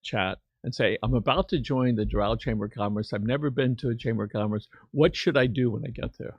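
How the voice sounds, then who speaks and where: A man hosting a casual interview in a studio, asking questions in a halting, conversational way. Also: mid-range voice, no room sound, lo-fi sound. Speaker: show host.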